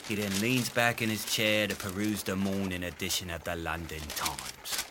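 A man's voice: low voice, fairly even intonation, moderate pace, lightly acted. A man playing a wordy, theatrical Victorian narrator who addresses the listener in a florid, wry manner. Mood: wryly explanatory. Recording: noticeable ambient background noise.

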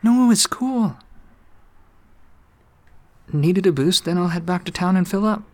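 A man's voice: sits high, expressive, a little slow, darkish tone. A man playing a polite, easygoing young student in an audio drama, casual and unassuming. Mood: reassuring, sheepish. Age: young adult. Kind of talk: naturalistic audio drama acting.

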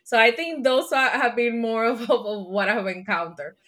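A woman's voice: fairly high pitch, moderate pace. Puerto Rican woman guest, thoughtful restarts. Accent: Puerto Rican Spanish. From Puerto Rico. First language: Spanish. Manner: thoughtful, with many restarts and soft laughs. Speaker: podcast guest. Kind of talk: casual interview answers.

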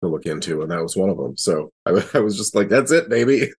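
A man's voice: deep voice, lively intonation, quick pace, a little mellow, husky. A man talking casually on a podcast panel, explaining things in a chatty way.

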